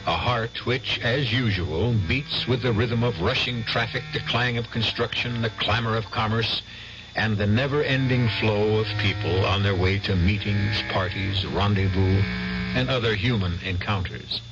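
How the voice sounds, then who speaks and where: Theatrical, lowish-voiced male radio narrator. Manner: measured, theatrical, with pointed pauses. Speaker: radio drama host and narrator. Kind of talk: scripted dramatic storytelling narration. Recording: dull old radio recording. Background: loud music.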